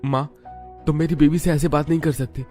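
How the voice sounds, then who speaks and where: A man's voice: a little high, steady tone, brisk, a little mellow, faint music behind, close to an everyday voice. A man playing a protective, indignant young newlywed son in a cartoon melodrama.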